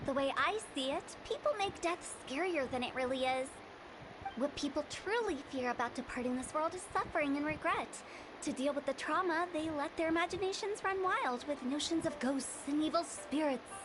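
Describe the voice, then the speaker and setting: A woman's voice: high voice, expressive, moderate pace, background noise. A woman voicing a playful, morbidly cheerful young female funeral parlor director in a video game, eloquent and theatrical. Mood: breezy, philosophical. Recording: game audio with loud background music.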